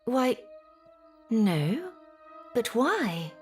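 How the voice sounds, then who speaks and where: Troubled young gentlewoman, music behind. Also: on the high side, very animated, heavily acted. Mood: gentle, reassuring. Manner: measured, formal, earnest storytelling. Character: troubled young gentlewoman recounting her sister's death. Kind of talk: audio drama acting, dramatic narration.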